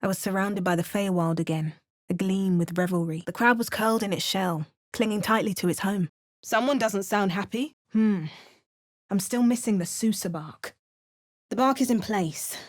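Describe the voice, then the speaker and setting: A close, dry recording of a woman acting as a wry, curious heroine in a fantasy video game. She speaks in a measured, storylike way at a middling pitch, like a seasoned adventurer who casts spells. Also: no echo, natural voice. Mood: wry, musing. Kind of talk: video game character voice lines.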